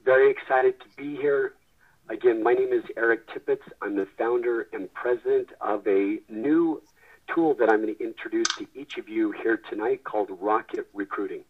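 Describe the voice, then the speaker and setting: A man presenting a sales webinar, his voice raspy from a head cold, talking earnestly with frequent restarts. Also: mid-range voice, normal speed. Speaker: webinar presenter, company founder. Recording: tinny phone line.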